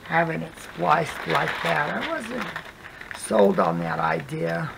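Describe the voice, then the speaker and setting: An adult craft vlogger chatting casually while working, with a hoarse voice that keeps cutting in and out, rambling softly and drifting into whispers. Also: medium pitch, big pitch swings, takes it slowly, background noise.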